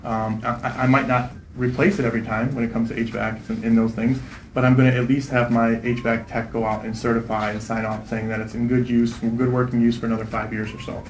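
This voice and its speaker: A man in his thirties, a real estate investor, answering interview questions quickly and confidently, often tagging "right?" onto his points. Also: medium pitch, flat delivery, roomy sound, a little hiss or hum, rough recording. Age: 30s. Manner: fast, confident, repeats "right?".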